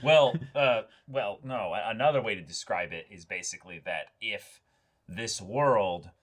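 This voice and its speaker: A man's voice: lowish voice, unhurried. A man co-hosting a reaction show in casual discussion, emphatic, repeating words for effect and swearing freely. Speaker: co-host of an anime reaction show. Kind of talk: casual discussion, animated commentary.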